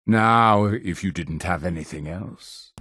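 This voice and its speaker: A man's voice: low voice, slow pace, bright, forceful tone, husky, no room sound, studio quality, exaggerated voice. An actor playing a zealous, imperious cult leader in a video game, preaching to his flock in a solemn, grandiose manner. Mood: measured, guarded. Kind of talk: theatrical video game character dialogue.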